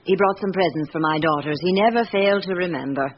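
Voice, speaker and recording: An actress with a British accent playing a guarded, wistful middle-aged wife in a radio drama, composed and reflective. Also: female voice, on the low side, quick pace, lightly acted. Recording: dull, noisy old radio recording.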